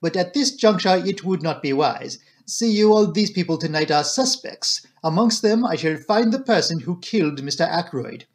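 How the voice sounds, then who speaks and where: A man's voice: sits high, animated, normal speed, few pauses. A man voicing a fussy, proud, courteous detective in an audiobook, with a French accent and formal, theatrical phrasing. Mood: courteous, assured.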